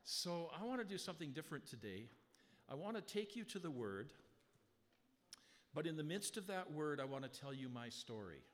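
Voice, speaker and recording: A man's voice: on the high side, big pitch swings. Strong-voiced male pastor in a reverberant church. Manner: conversational preaching with rhetorical questions; slow, emphatic scripture reading. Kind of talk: sermon. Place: church sanctuary. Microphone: handheld or stand mic, sound adjusted live. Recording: long hall reverb.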